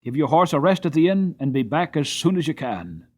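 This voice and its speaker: A man's voice: on the high side, very animated, fairly quick, a little mellow, voice pushed up. A man voicing a country squire who gives urgent, commanding orders.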